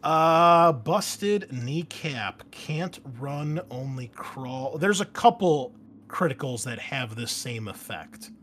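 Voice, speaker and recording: A man's voice: medium pitch, lively intonation, slow, fairly bright tone, slight background noise. A man hosting a tabletop roleplaying game as game master, recapping in a casual, rambling, joking and teasing way. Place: indoors at a desk.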